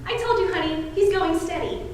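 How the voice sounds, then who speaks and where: Young woman acting fussy, very high-pitched genteel matron. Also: moderate pace. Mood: sweet, placating. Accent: American. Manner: sugary, mannered, coaxing, flustered exclamations. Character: fussy, genteel Southern matron aunt, cajoling and easily flustered. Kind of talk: high school stage play acting. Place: indoor school stage, filmed performance. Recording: echoey hall, distant camera, faint noise.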